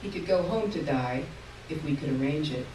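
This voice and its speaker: A woman giving a live reading of short fiction on stage, telling the story in a measured way. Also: low voice. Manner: measured storytelling, lively in the dialogue. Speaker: reader. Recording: roomy hall, distant mic, faint room noise.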